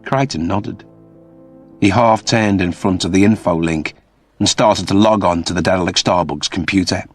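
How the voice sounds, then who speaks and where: A man reading a scripted story as an expressive audiobook narrator. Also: deep voice, normal speed, husky, no echo, faint music behind.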